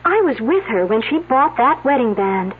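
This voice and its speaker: Breathy, offhand college girl. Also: a little high, big pitch swings, moderate pace. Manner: offhand, dismissive. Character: flippant young female college student, cool and gossipy. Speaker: student at a dig. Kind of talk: 1970s radio drama acting. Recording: tinny old radio broadcast.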